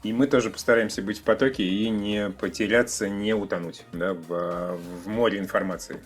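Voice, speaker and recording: A man's voice: on the low side, fairly even intonation, fairly quick. A man in a casual podcast discussion, hesitantly searching for his words. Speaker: podcast participant. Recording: music in the background. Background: music.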